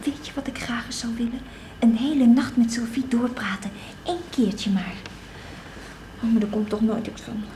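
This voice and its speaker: An actress playing an anxious, talkative teenage girl in a radio drama, confiding in halting, rambling speech. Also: fairly high pitch, steady tone, warm tone, slight background noise, natural voice. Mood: wistful. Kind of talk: radio drama acting, naturalistic dialogue.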